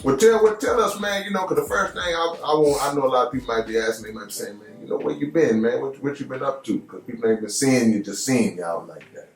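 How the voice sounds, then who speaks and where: A man's voice: medium pitch, fast talker, hardly any pauses, roomy sound, soft background music, rough recording. A man hosting a casual podcast interview, effusive and repeating "man" often. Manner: effusive, keeps saying "man". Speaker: podcast host.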